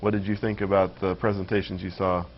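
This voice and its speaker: A man's voice: low voice, level tone, quick pace, dull audio, slight background noise. A man hosting a local TV interview, asking questions in a loose way full of fillers and restarts. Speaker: TV show host.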